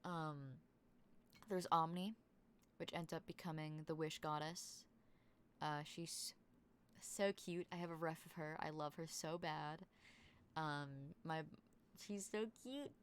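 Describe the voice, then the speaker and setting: Low-voiced young woman rambling excitedly. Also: slow pace, long pauses, a little hiss or hum. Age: young adult. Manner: rambling, with many "um"s and "like"s. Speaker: tabletop game player. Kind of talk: casual chat on a voice call.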